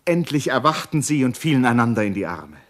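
A man's voice: mid-range voice, very animated, fairly quick, fairly bright tone. A man narrating a German radio play as a grave literary storyteller. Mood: grave, suspenseful. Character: grave male storyteller of a dramatic novel.

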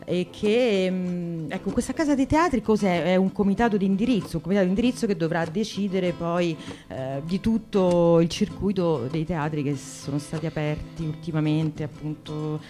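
Guitar music plays clearly behind a woman acting an earnest female interviewer in a radio satire. Her voice sits rather low, polite and hesitant, with exasperation showing as she keeps trying to correct and steer the talk. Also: normal speed, few pauses, mellow, close to an everyday voice. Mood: earnest, probing.

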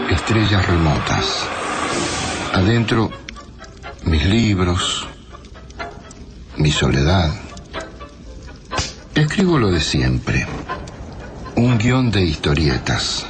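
An actor playing a solitary, pensive scriptwriter in a radio drama, with an Argentine accent and poetic, fragmented phrasing. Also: male voice, sits low, big pitch swings, extremely slow, pauses often, full character voice. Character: solitary, pensive male comic-book scriptwriter working at night. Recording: music under the voice.

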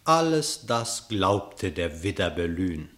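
A man's voice: deep voice, very animated, slow, fairly bright tone, exaggerated voice. A man playing the narrator of an animal fable, reciting verse narration in a radio play. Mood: narrating.

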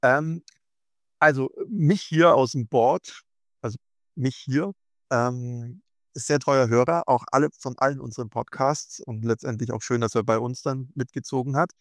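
A man's voice: medium pitch, unhurried, no room sound, studio quality. A man hosting a podcast interview, asking listener questions hesitantly with many fillers. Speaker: podcast host.

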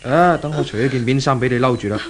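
A man's voice: mid-range voice, fast, noisy background, natural voice. A man acting in a 1970s Cantonese radio drama as a sympathetic, cautious passerby, speaking politely with a Hong Kong accent. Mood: concerned. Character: courteous, cautious male passerby, sympathetic and helpful.

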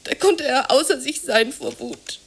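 A woman's voice: sits high, measured pace, gravelly. Bitter, worn-down working-class woman. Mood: bitter, agitated. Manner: colloquial, confiding, plaintive, sometimes near whispering. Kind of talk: 1980s German radio drama acting.

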